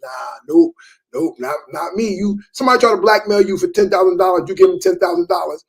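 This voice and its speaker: A man's voice: high voice, quick pace, vocal fry, breathy edge. A man in his thirties in casual chat about parenting, laid-back and repetitive, with many fillers.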